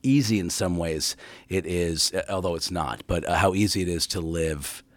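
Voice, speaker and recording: A man, a guest actor, chatting casually in an interview, halting with fillers. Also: low voice, dry recording.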